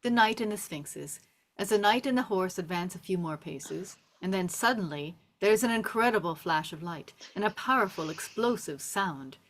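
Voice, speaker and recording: A woman with a somewhat low voice narrates a screenplay. She reads scene descriptions and stage directions aloud as the narrator of a table read. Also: animated, normal speed. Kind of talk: scripted narration.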